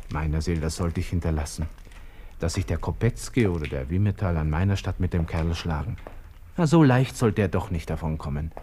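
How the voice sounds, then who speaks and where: Radio drama: agitated young Austrian lieutenant male actor, low register, Viennese accent, colloquial inner monologue, proud and brooding. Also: dark tone, a little hiss or hum, lightly acted. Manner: colloquial, muttering to himself, abrupt self-questioning.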